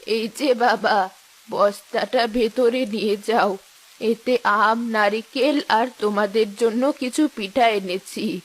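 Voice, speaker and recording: A gentle old village woman from a cartoon , acted by a younger-sounding performer, a woman. Her voice sits fairly low and she draws her words out in a slow, motherly way. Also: low-quality recording. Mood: kindly, fussing. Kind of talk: cartoon story dialogue.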